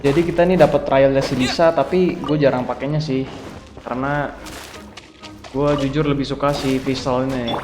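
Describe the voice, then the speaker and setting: A young Indonesian-accented man commentates casually on a game he is streaming. He talks quickly at a medium pitch, in an echoey, reverberant recording with game music behind him. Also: steady tone, darkish tone.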